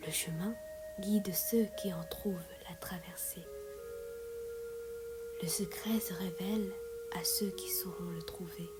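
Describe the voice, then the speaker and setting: A woman reciting a meditative poem in a soft, breathy, often whispery voice, measured, with long pauses between phrases. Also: on the low side, fairly even intonation, very noisy. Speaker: poet reciting own poem. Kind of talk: slow meditative poetry recitation. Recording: music and singing bowls behind voice. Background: meditative music with singing bowls.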